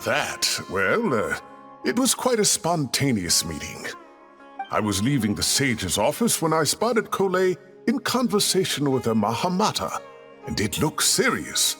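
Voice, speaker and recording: A genial, self-satisfied older male scholar from an anime game. The delivery is theatrical and avuncular, with pitch swinging widely at a medium height. Faint music sits behind it. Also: a bit bright. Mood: explaining, proud. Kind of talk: English anime game dub acting.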